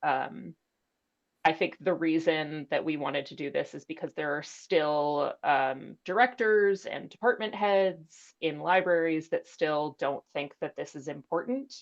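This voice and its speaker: Low-voiced woman on dull, lo-fi audio. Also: unhurried. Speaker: librarian panelist. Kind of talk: informal panel talk. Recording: dull, lo-fi online call audio.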